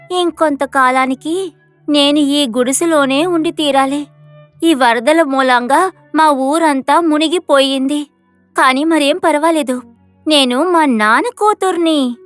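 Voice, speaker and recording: A woman voicing a brave, sorrowful, polite orphan village girl in an animated moral story, earnest and pleading. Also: high voice, no echo.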